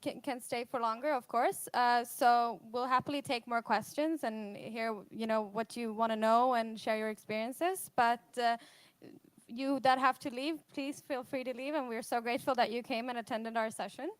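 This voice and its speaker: A woman moderator with a smooth, clear, fairly high voice, recorded dry and close. She is polite and brisk as she manages the panel. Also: steady tone, no echo.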